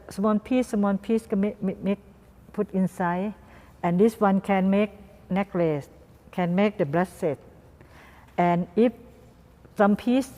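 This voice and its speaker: Female guest artist, Thai accent, mellow voice, broken English in short repeated phrases, recorded with long room reverb. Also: medium pitch. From Thailand. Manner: halting phrases, non-native grammar, repeats words. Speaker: guest artist and doll maker. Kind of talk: interview answers in simple English.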